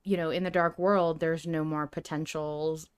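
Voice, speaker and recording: Woman podcaster with a clear, lowish voice. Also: moderate pace. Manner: animated, exclamatory, freely swearing. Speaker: podcast co-host. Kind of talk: casual fan discussion.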